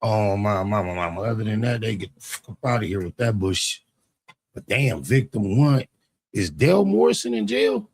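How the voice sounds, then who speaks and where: A man's voice: on the low side, big pitch swings, moderate pace, slight background noise. A man giving casual, slangy, unfiltered reaction commentary as a host, with some swearing. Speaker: host reacting to a narrated video.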